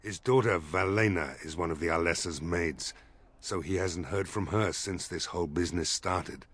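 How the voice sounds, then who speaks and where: An actor voicing a weary, gruff village mayor in a video game, blunt and grumbling, with a gravelly, husky voice. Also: male voice, low-pitched, normal speed, a bit bright. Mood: frustrated.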